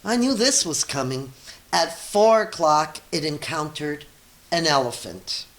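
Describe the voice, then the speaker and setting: Slow-paced woman reading to children. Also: sits low. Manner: encouraging, expressive, asks children questions. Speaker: teacher reading aloud to children. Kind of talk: children's story read-aloud with teaching asides.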